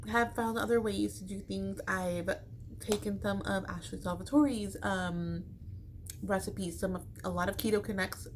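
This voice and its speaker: A middle-aged American woman from Chicago chatting casually to her viewers in a rambling, informal, slangy way. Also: lowish voice. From Chicago, United States. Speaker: vlogger. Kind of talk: casual chat to camera. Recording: noticeable background noise.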